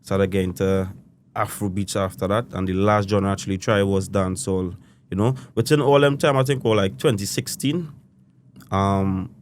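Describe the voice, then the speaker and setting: A man's voice: deep voice, steady tone, moderate pace, a little hiss or hum. Trinidadian man talking loosely. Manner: rambling, loose island phrasing, frequent "you know". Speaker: guest musician. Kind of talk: casual interview chat.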